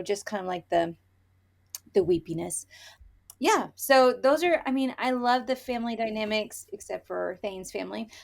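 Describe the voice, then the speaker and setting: A woman co-hosting a casual book review podcast, talking in an emphatic, opinionated and conversational way. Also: mid-range voice. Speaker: podcast co-host reviewing romance novels.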